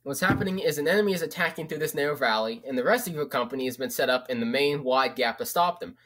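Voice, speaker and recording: A man's voice: mid-range voice, lively intonation, quick pace, fairly bright tone. Rambling young guy. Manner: rambling, casual, swears freely, frequent fillers. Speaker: vlogger. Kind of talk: casual book recommendation.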